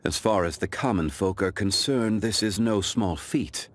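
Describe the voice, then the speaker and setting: A man playing an ancient, calm, dignified gentleman in a video game, speaking in a formal, measured, reflective way. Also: low voice. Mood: composed. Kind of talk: scripted video game character voice lines.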